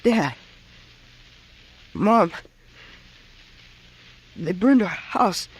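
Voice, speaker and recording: A man's voice: high voice, animated, drawn-out words, breathy edge, exaggerated voice. An actor playing a terrified wounded homesteader boy in an old radio drama, gasping weakly in pain. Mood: desperate, dying.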